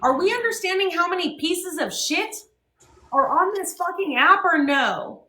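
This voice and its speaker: A woman's voice: very high-pitched, lively intonation, moderate pace, pushed and bright, echoey room, a little hiss or hum. A young woman hosting a livestream, ranting and swearing with heavy emphasis. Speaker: livestream host. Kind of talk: heated livestream talk.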